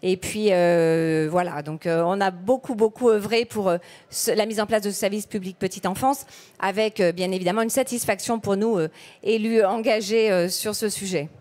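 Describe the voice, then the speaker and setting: A woman's voice: medium pitch, fairly even intonation, moderate pace, darkish tone. A woman elected official on a panel, speaking with frequent hesitations and fillers. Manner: hesitant, filler-heavy speech. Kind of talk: conference panel answer.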